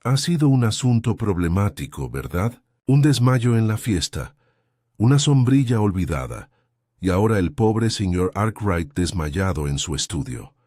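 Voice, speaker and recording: A man voicing an elderly spinster detective who speaks softly and kindly while quietly probing. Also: lowish voice, sing-song, unhurried, dry recording, clean studio sound. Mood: gentle, probing. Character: gentle elderly spinster sleuth, mild and shrewd.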